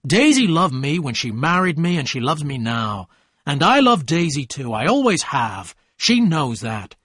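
A jealous, domineering husband from a storybook, acted out by a male narrator. He is loud and accusing, with a husky edge and big, forceful swings in pitch. The recording is close and dry. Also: sing-song, unhurried, no echo, full character voice. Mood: angry, shouting. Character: bad-tempered, domineering jealous husband, adult man.

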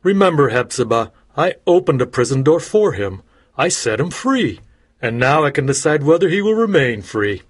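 A male audiobook narrator playing a greedy old judge who turns from false friendliness to threats, in a husky voice. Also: a little high, sing-song, moderate pace. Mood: menacing. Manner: smooth, menacing. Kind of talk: audiobook character voice.

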